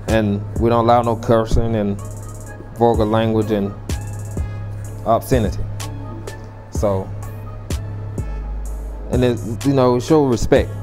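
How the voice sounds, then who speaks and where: A man speaks slowly at an average pitch in a documentary interview. Faint music sits behind his voice. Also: a little mellow. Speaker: barber. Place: indoors in a barbershop.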